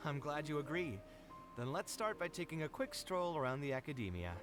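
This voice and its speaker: Young architect in a fantasy game: expressive, lilting, articulate, wistful, music underneath. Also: male voice, on the high side, a little fast. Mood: upbeat, inviting. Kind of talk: video game character dialogue.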